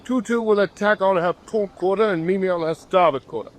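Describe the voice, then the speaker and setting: A man acting a stubborn, unflappable British naval commander in a radio drama, with a measured, formal, commanding British delivery. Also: high voice, lightly acted. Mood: calm, commanding.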